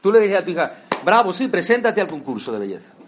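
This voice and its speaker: Guy preaching, kinda high voice, talks right at the congregation, asks em stuff. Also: big pitch swings, quick pace, a little hiss or hum. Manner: conversational, addresses listeners directly with rhetorical questions. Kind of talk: sermon.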